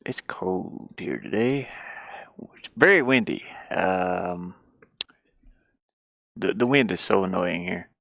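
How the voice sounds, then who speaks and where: A slow, creaky voice talks through computer work in a casual, halting murmur, as if half to themselves. The sound is close and dry, in the manner of an informal screen-share. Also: low voice, expressive, fairly bright tone, no echo. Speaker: indie game developer. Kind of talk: casual screen-share chat while working. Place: inside a van.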